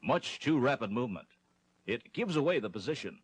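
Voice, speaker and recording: A man with a husky voice narrating a training film in a scripted, instructional style. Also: medium pitch, very animated, a little fast.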